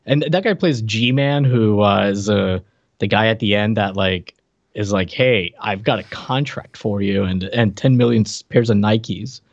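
A man's voice: fairly low pitch, unhurried, no echo, highs cut off. A man co-hosting a podcast, chatting casually and jokingly in a conversational way. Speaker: podcast co-host. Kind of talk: casual chat reviewing old games.

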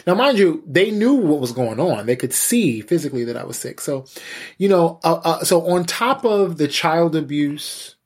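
Husky-voiced man giving candid testimony. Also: a little high, expressive. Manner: halting, repeats words, frequent "you know". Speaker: pastor and church musician, interview guest. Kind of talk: candid personal testimony in conversation.

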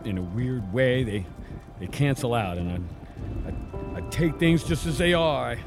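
An actor playing a blunt, world-weary father on a doomed science team in an audio drama, speaking haltingly. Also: mid-range voice, animated, music in the background. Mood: resigned.

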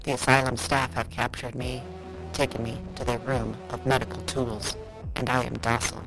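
A woman voicing a solemn inner voice that urges defiance, speaking in slow, measured phrases. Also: little pitch movement. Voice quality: low and distant, spoken slowly. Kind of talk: audio drama acting. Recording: heavy music bed, distant voice.

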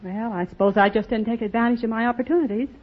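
A woman's voice: a little high, fairly even intonation, fast, lo-fi sound. A woman from Texas answering a quiz host's questions as a contestant on an old radio show. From Texas, United States. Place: radio studio before an audience. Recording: thin, very noisy old radio recording.

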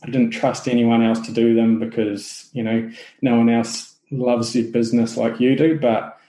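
A man's voice: mid-range voice, moderate pace, echoey room, rough recording. A man with a New Zealand accent, a podcast guest chatting casually about his business, with plenty of fillers and restarts. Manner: relaxed, many fillers and restarts. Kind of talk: casual interview chat.

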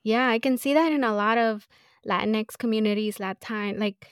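A woman entrepreneur speaking as a podcast guest, earnest and reflective, often pausing on fillers. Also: on the high side, smooth, dry recording, clean studio sound. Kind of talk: casual interview conversation.

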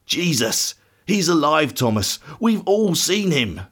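A man voicing a joyful, assured male disciple with a soft, calm delivery in dramatic storytelling dialogue. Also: on the high side, slow, breathy edge, full character voice. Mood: gentle, joyful. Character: joyful, gentle, assured male disciple bearing astonishing news.

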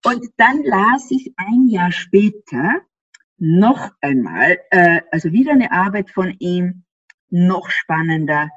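Austrian woman, interview guest, husky, lively pitch, dull dry recording. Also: slow, dry recording. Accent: Austrian German. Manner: animated, laughs often, explains with rhetorical questions. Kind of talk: explanatory interview talk. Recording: slightly dull dry sound.

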